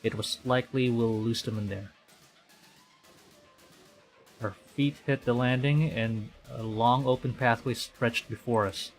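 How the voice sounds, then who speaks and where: A man's voice: medium pitch, fairly even intonation, moderate pace, poor audio. A man from the Philippines streaming a visual novel, reading the story aloud and muttering his own reactions in between. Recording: music behind the voice. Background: game music.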